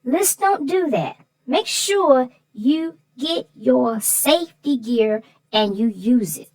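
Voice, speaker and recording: A woman's voice: sits high, expressive, slow pace, a little mellow, poor audio. A woman co-host talking over a children's story and giving advice, earnest and repeating words for emphasis. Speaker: co-host of a children's read-aloud show. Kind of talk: conversational story discussion and safety advice.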